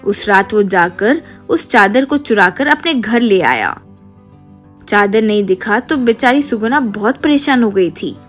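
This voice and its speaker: A woman reading scripted narration for an animated moral story. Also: medium pitch, a little slow. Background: light music.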